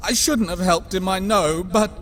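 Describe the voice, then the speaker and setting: A mad, fearful little god of trifles, hesitant and rambling. The male voice sits extremely high and rings in a long cavernous reverb, as in a motion comic. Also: normal speed, a bit bright. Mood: resigned, despairing.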